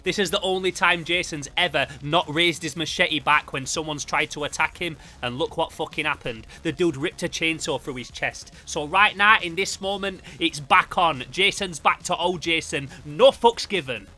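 Guy doing excitable sweary comic review, really bright forceful voice, bit of music under it. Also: on the high side, moderate pace, few pauses. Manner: excitable, foul-mouthed, makes sound effects. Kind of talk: animated sweary storytelling commentary.

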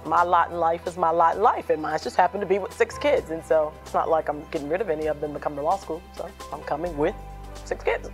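Woman, earnest, reflective, lowish voice, faint music. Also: fast. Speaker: incoming law student. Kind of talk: interview.

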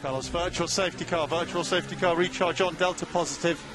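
Measured male race engineer over team radio. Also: a little high, fairly even intonation, music in the background. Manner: measured, repeats key instructions. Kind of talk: terse team radio instructions to a driver.

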